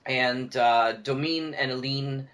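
A Canadian man is a guest on a podcast, talking casually about his own work as a producer. He rambles through stories, circling back and repeating phrases, in a mid-pitched voice. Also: slow pace, fairly bright tone. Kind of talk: casual interview chat, anecdotes.

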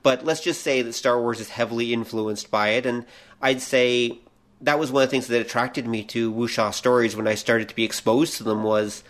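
A man's voice: medium pitch, fairly even intonation, moderate pace, a bit bright. A man co-hosting a podcast, talking casually about pop culture in an explanatory, analytical way. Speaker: podcast co-host.

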